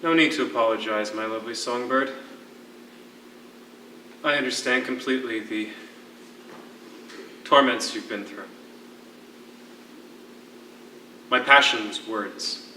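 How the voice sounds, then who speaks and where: An actor playing a lyrical, wistful former poetry teacher held as a prisoner, speaking with theatrical eloquence in a stage play. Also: male voice, medium pitch, bright, forceful tone. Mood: gentle, reflective. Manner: eloquent, theatrical, measured storytelling. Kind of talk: live one-act stage play. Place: theatre stage, recorded from a distance. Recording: echoey, distant stage recording with faint noise.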